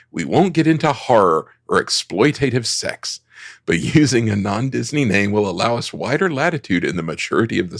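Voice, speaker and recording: Male podcast host, dry close sound, fry in the voice, semi-scripted narration loosened with casual asides. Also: lowish voice, no echo. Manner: conversational, joking asides.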